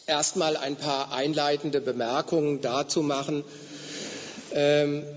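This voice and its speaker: A slightly hoarse man with a fairly high voice delivers a measured, explanatory lecture. The sound is dull and lo-fi, with a long reverb from the hall around him. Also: a little mellow. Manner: measured, explanatory, with occasional fillers. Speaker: guest lecturer. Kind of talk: academic lecture.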